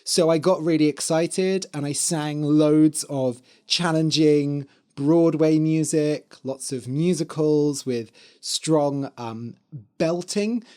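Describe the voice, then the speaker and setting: A man hosting an English-learning podcast, speaking in a hoarse, slightly dry voice and explaining words clearly for learners. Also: a little high, slow, mellow. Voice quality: spells words out letter by letter. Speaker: podcast host and English teacher. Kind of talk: slow, clear teaching talk for learners.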